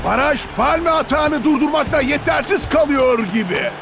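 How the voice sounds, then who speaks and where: A man voicing an excitable, bombastic football commentator in a cartoon, declaiming dramatically over loud music. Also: very high voice, moderate pace, vocal fry, exaggerated voice. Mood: dramatic.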